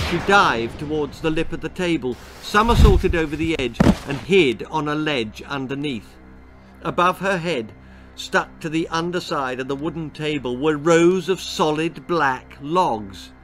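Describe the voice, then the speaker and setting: A man's voice: on the high side, expressive, unhurried, music in the background. A man reading a children's horror-comedy story in a theatrical, precise storytelling style. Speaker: author reading his own story.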